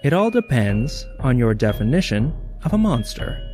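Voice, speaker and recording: A man narrating an audio drama from a script in a measured storytelling manner. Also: mid-range voice, sing-song, a little mellow, soft background music.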